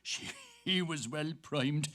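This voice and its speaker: A man's voice: on the high side, slow, a bit bright. An actor with an Irish accent playing a jovial, bawdy Dublin man-about-town, chuckling through a theatrical stage reading. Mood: gleeful, boisterous. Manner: gleeful, chuckling, theatrical storytelling. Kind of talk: animated dramatic reading on stage.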